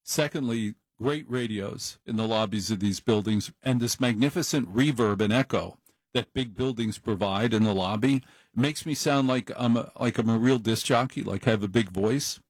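A man's voice: lowish voice, normal speed, no room sound. Chatty late-night radio host man. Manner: rambling, chatty, self-deprecating. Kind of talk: casual monologue on call-in radio. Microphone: studio microphone.

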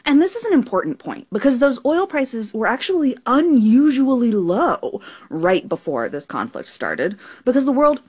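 A woman's voice: on the high side, moderate pace, few pauses, husky. A woman reporter explaining energy markets in a radio news interview. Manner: explanatory, lively delivery.

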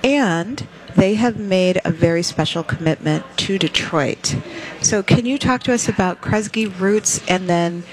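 Interviewer, woman, lower-pitched, encouraging, keeps affirming the guest. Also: measured pace, slight background noise, poor audio. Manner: warm affirming, frequent encouraging backchannels. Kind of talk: conversational interview.

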